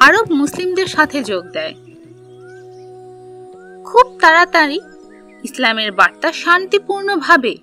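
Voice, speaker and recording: A woman narrating a scripted history story for children, telling it in simple, deliberate phrases. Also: high voice, pushed and bright.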